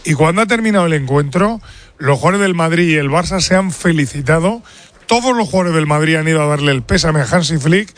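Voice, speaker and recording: A fairly high-voiced man reporting live from a football stadium for a radio sports show. He talks emphatically, describing the scene like a story. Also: quick pace, no echo.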